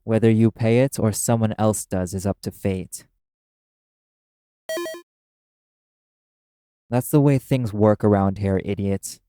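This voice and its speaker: A man's voice: on the low side, steady tone, moderate pace, background noise, lightly acted. A man playing a brash, cynical male demon who speaks bluntly and scornfully in an audio roleplay. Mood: scornful, cold. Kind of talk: dramatic audio roleplay addressed to the listener.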